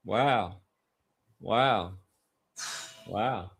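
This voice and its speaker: A man's voice: lowish voice, very slow, gravelly, fairly bright tone, husky. A man co-hosting a sports podcast in a casual, emphatic way, often repeating phrases for stress.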